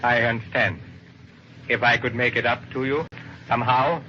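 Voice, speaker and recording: An actor playing a wealthy, guilt-ridden murderer in an old radio crime drama, speaking in a formal, measured, terse way. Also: male voice, mid-range voice, steady tone, bright, forceful tone, close to an everyday voice. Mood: guarded, resigned. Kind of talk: mid-century American radio crime drama acting, first-person narration. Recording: dull, noisy old radio recording.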